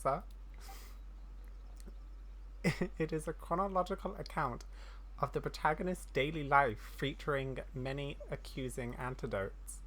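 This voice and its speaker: Game streamer, mid-range voice: casual commentary, reads on-screen text haltingly, self-deprecating. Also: mid-range voice, fairly bright tone. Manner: stumbles while reading aloud, self-deprecating. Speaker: gaming streamer. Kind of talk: casual game playthrough commentary and reading dialogue aloud.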